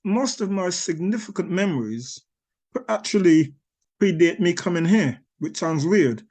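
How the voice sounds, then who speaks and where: A man's voice: high voice, lively intonation, unhurried, a little mellow. A man in his sixties, born in Barbados, answering interview questions as an author, rambling with many restarts and small chuckles. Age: 60s.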